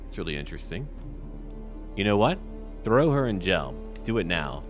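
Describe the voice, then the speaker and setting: A man with a low, deep voice commentates his strategy game as he plays. He thinks aloud in short, clipped remarks, speaking as the ruler he controls. Music plays behind his voice. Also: very animated, normal speed. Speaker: gaming video host.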